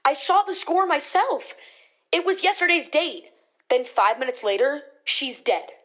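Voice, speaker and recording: A spooked, superstitious female eyewitness played by an actress with a high voice. She talks in punchy, insistent bursts, as in a radio drama interview. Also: measured pace, a bit bright. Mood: excited, insistent. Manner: emphatic, punchy.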